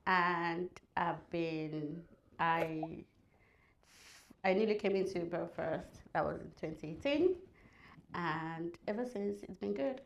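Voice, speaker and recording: A woman speaks as a podcast guest, taking her time over each thought. Her voice sits fairly low and she pauses and hedges between phrases. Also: highs cut off, slight background noise. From Nigeria. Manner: measured, halting with fillers. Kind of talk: casual panel discussion.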